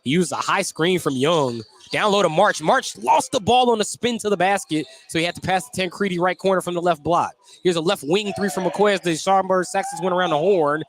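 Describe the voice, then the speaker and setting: A man's voice: high voice, expressive, a little fast, hardly any pauses. A man calling a live basketball game on the radio as the play-by-play announcer. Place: at courtside or press position in a gym during a game.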